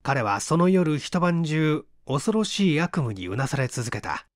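A man narrating a literary mystery tale in a measured, dramatic storytelling manner with deliberate pauses. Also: a little high, sing-song, dry recording, clean studio sound. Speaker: audiobook reader, stage actor.

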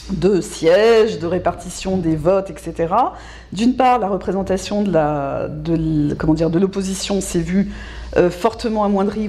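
A middle-aged woman explains things as an expert in an interview, in measured phrases with hesitant pauses. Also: lowish voice, mellow. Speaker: local government specialist being interviewed. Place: small indoor office.

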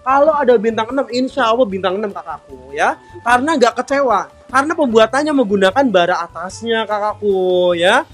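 A man with a strikingly high voice hosts a live-selling stream from a bakery kitchen. He chats on and on, upbeat, calling out to viewers and reading their comments. Soft music plays faintly in the background. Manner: chatty and upbeat, constantly addressing viewers warmly. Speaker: live-stream sales host.

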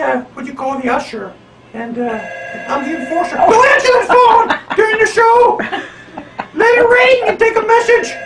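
A very high, squeaky monkey boasts and bosses the crowd. The voice sounds roomy and distant, caught on a home camera at the back of the room. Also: male voice, creaky, fairly bright tone, slight background noise, exaggerated voice. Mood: bossy. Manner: bossy, boastful, sings silly songs. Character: cocky boastful male monkey puppet. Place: living room home puppet show. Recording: roomy home video from afar.

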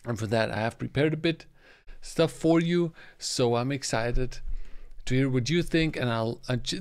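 A middle-aged man with a German accent talks like a podcast host. His voice sits at a medium pitch with a lot of fry in it. He explains things casually, checking in with short questions, close to the mic in a very dry room. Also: no room sound. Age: 40s. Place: small furnished home office, indoors. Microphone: close desk microphone.

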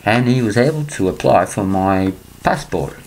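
An older man from England, speaking into a laptop webcam in a small room, rambling and clearing his throat between points. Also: fairly low pitch, expressive, moderate pace, darkish tone, slight background noise, rough recording. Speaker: vlogger. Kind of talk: rambling conspiracy monologue to webcam.

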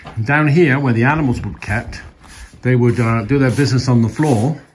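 A man's voice: medium pitch, moderate pace. A man in his fifties giving an informal, wry, explanatory vlog tour of an old farm he is restoring, recorded on a handheld phone. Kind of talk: informal narrated video tour. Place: outdoors on farm land and inside stone barn. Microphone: handheld phone at arm's length. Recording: clear background noise, clicks.

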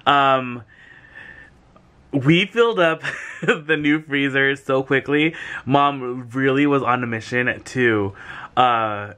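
A man's voice: mid-range voice, slow pace, pauses often, bright, forceful tone. A young man vlogging at home, chatting casually to a handheld camera held at arm's length and laughing often. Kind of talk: casual vlog chat. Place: home kitchen and bedroom.

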